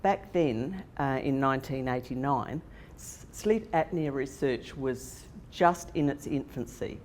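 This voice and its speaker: A woman's voice: rumbling low, lively intonation, measured pace, a little mellow. A woman in her sixties with an Australian accent, telling a personal story to a conference audience, with fillers and restarts along the way. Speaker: conference speaker. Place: conference hall. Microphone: handheld microphone. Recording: echoey hall with faint noise.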